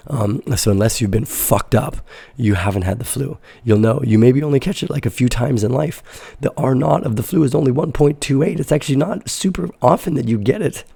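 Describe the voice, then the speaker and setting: A man in his sixties, hoarse and deeply gravelly, with a husky, worn-out rasp. He chats live with viewers in a blunt, fiery, swearing manner. His tone is dark and mellow, and the sound is dry and close. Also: no room sound. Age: 60s. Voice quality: raspy. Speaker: host of a live crypto trading show. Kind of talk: live-stream chat answering viewer questions.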